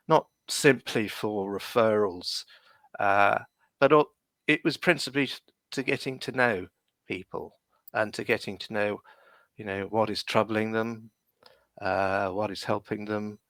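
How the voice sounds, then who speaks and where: A man's voice: fairly low pitch, a little slow, a bit bright, dry recording. A man, a lawyer and podcast guest, speaking on a video call from London in a reflective, hesitant way with many fillers. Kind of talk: reflective interview answers.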